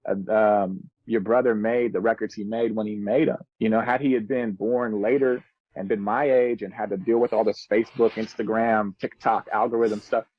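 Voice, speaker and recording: A man's voice: lowish voice, steady tone, moderate pace, dry recording, lo-fi sound. A man in his thirties telling stories casually as a musician guest on a podcast, in laid-back, slangy, earnest and reflective speech. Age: 30s.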